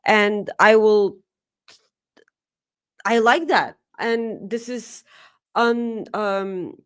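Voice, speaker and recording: A woman's voice: mid-range voice, drawn-out words, clean tone. A native Bulgarian speaker, this woman co-hosts a casual wrestling talk show with blunt, emphatic, sweary opinions. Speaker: co-host of a wrestling preview show.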